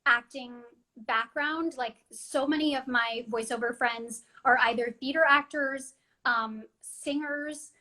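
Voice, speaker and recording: A woman's voice: high voice, steady tone, takes it slowly, pushed and bright, poor audio. A young adult woman chatting in an interview about voice acting, talking freely with many ums and restarts. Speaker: voice actress guest. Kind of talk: casual interview chat.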